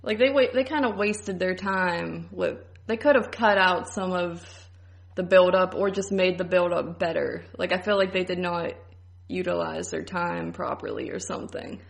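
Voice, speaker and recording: Woman on a movie podcast, lowish croaky voice, super informal, says like a lot. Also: normal speed. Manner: informal, hedging, frequent "like". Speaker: podcast co-host. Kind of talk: casual film review chat.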